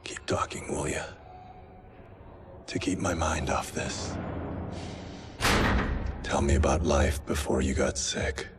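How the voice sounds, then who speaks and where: A man's voice: slow pace, pauses often, over loud music, heavily acted. An actor playing a wounded, blinded swordsman in a video game, in pain, dry, terse and stoic. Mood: weary, wry. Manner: dry, terse, low-key. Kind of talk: dramatic video game acting. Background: loud dramatic score.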